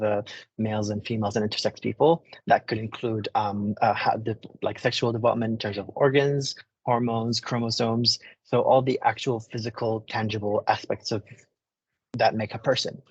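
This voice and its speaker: A speaker from the Middle East explaining terms on a video call, pausing often with ums and restarts. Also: male voice, lowish voice, slightly rough, no echo, muffled recording. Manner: hesitant, many ums and restarts. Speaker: panel speaker. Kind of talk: explanatory talk.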